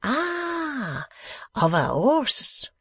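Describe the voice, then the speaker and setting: A reader voicing a patient, courteous foreign gentleman with a French accent who speaks halting English. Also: extremely high pitch, wildly swooping pitch, husky, dry recording, voice pushed up, heavily acted. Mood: puzzled. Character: polite, puzzled foreign gentleman dinner guest.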